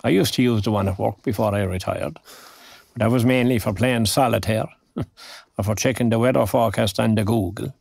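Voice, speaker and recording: Gravelly older Irishman, chatty and folksy. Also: fairly low pitch, fairly even intonation, fairly quick, darkish tone, dry recording. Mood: chatty, curious. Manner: chatty, folksy, old-fashioned turns of phrase. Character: friendly, talkative recently retired older man. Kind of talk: naturalistic audio drama acting.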